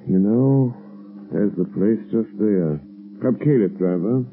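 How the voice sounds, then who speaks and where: Suave, deep-voiced 1930s radio gentleman sleuth. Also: measured pace. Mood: suave, relaxed. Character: suave wealthy man-about-town, secret crime fighter, cool and assured. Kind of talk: 1930s radio drama acting. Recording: muffled, narrow-band 1930s radio recording.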